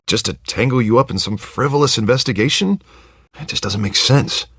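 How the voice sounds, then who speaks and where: An American man in his thirties playing an earnest, excitable district attorney and loyal friend in a video game, emphatic and colloquial. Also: medium pitch, moderate pace, husky, no room sound, studio quality. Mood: frustrated.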